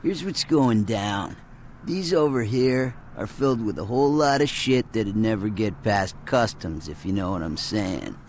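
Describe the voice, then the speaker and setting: An actor playing an easygoing, streetwise smuggler using casual slang in a video game cutscene. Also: male voice, lowish voice, animated, measured pace, a little hiss or hum. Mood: casual, businesslike.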